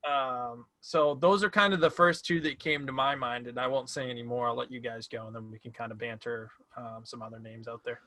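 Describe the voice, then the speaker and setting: A young man co-hosting a casual sports podcast, excitable and quick to argue loudly in banter. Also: mid-range voice, dry recording. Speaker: podcast co-host.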